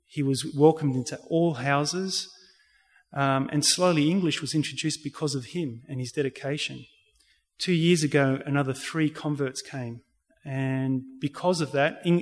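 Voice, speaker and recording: A man with an Australian accent giving a public talk and answering questions in a halting, earnest, explanatory way. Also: medium pitch. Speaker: religious speaker giving a community talk. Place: reverberant indoor hall. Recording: echoey hall with long reverb.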